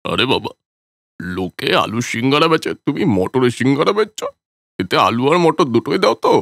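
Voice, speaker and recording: A man voicing an old male village swindler in a cartoon, grumbling in a lower, gruffer voice than his own. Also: sing-song, a little fast, fairly bright tone, no room sound, clean studio sound. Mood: scornful. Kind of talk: Bengali cartoon voice acting.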